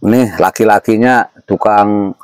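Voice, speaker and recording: A man's voice: lowish voice, a little slow, darkish tone, dry recording. A man, explaining folk remedies casually as an interview guest, repeating himself and often checking in with short tags. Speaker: interview guest sharing traditional herbal remedies.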